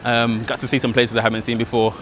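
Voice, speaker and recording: A man, chatting casually to camera as a travel vlogger, excitable and slangy with plenty of fillers. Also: mid-range voice, quick pace. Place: outdoors on city streets and in a park. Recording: outdoor city noise behind.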